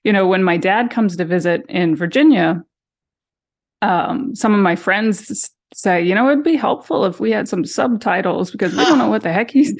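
A woman in her forties, raised in Massachusetts with her Boston accent mostly gone, recounting her family in a relaxed interview. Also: mid-range voice, moderate pace, gravelly. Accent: General American, Boston accent mostly gone. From Massachusetts, USA. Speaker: interview guest, sister of missing woman. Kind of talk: casual interview conversation.

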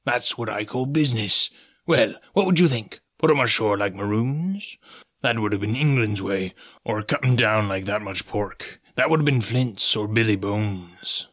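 A man's voice: fairly low pitch, unhurried, fairly bright tone. A man voicing a cunning, domineering pirate cook from a storybook, scolding and scheming in rustic seafaring speech. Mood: coldly calculating.

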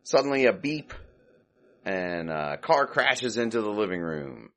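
A man's voice: fairly low pitch, sing-song, unhurried, fairly bright tone. A man hosting a podcast, casually recapping an old comedy short with lots of hesitations and self-corrections. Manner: rambling, hesitant, full of ums and self-corrections; retells scenes, briefly quoting characters. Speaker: podcast host.

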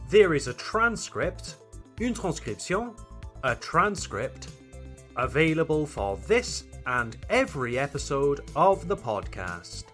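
A man's voice: on the high side, fairly bright tone. A man from Wales with a light Welsh accent, hosting a podcast for English learners and reading his script with careful, teacherly articulation.

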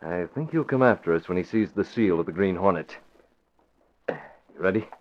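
An actor playing a masked crime-fighting young publisher in a 1940s radio drama, clipped and commanding. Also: male voice, sits low, expressive, normal speed. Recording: dull lo-fi old radio recording.

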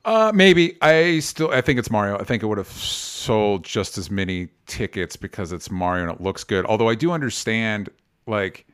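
A man's voice: on the low side, lively intonation, normal speed, fairly bright tone, clear, resonant tone. Blunt man chatting casually about movies. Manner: blunt, sweary, argumentative. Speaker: host of a movie discussion show. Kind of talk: casual opinionated movie chat.

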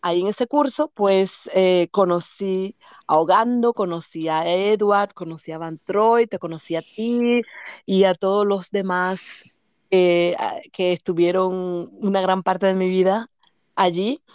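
A woman in her fifties with a Dominican accent, recounting her past in a relaxed, lively way and laughing often. The sound is dry and a bit dull and lo-fi. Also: medium pitch, unhurried, clean tone, no echo. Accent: Dominican Spanish. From Dominican Republic. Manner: lively, laughs often, acts out other people's lines. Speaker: guest. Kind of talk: casual storytelling interview.

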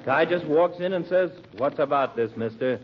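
An actor playing a gossiping American barber with a rambling, colloquial storytelling manner, in 1940s radio comedy style. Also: male voice, on the high side, animated, moderate pace, close to an everyday voice. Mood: gossipy, warning. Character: chatty, gossiping small-town male barber. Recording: muffled, noisy old radio recording.

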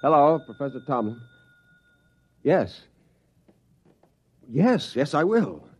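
An actor playing an earnest, deliberate professor and husband in an old-time radio drama. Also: male voice, fairly high pitch, big pitch swings, noisy background. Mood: resolute. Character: grave, resolute male professor, weary husband. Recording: muffled vintage radio recording.

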